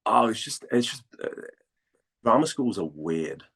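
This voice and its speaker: Low-voiced man from Denmark, chatting casually. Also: sing-song, measured pace, creaky, a bit bright, husky. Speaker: interview guest, voice actor and former model. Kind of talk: casual conversational interview.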